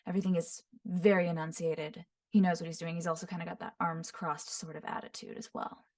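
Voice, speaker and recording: A woman voice actor teaching voice impressions, describing each voice in short phrases and often breaking into quick character demos. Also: lowish voice, flat delivery, darkish tone. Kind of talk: voice impression tutorial. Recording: voice somewhat far from the mic.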